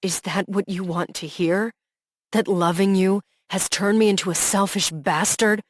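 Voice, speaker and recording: A woman's voice: fairly low pitch, steady tone, measured pace, slightly rough, no room sound, studio quality. A woman voicing a heartbroken young man who pours out jealous, desperate love, her delivery raw and pleading. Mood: anguished. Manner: raw, pleading, rising to anguished outbursts.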